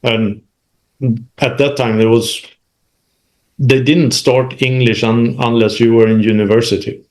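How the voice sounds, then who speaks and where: A man talks as a guest in an interview. His voice sits at a middling male pitch. He tells his story conversationally, pausing and correcting himself as he goes. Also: little pitch movement, a little mellow. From Sweden. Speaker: interview guest, manufacturing executive. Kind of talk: recounting career experience in conversation.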